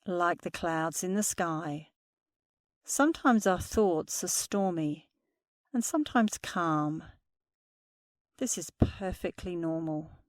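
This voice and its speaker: A woman meditation instructor speaking softly and soothingly through a guided meditation. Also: on the low side, lively intonation, no room sound, clean studio sound. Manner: slow, soothing, soft-spoken guidance.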